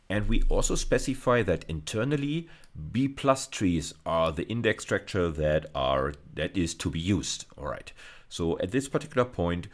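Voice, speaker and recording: Male lecturer, middle-aged, extremely deep and animated in intonation, hesitant explanatory delivery for a technical video course. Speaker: university lecturer.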